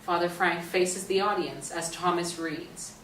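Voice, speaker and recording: A woman's voice: on the low side, fairly even intonation, measured pace, fairly bright tone. A woman reading scripted stage directions as the narrator of a play reading. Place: echoey indoor stage or hall. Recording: echoey room, distant mic, faint noise.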